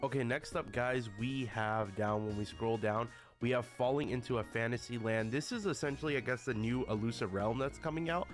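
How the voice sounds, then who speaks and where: A man's voice: medium pitch, fairly quick, soft background music. Slightly sick male gaming YouTuber. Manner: addresses viewers often as guys. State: a little sick. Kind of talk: casual video commentary.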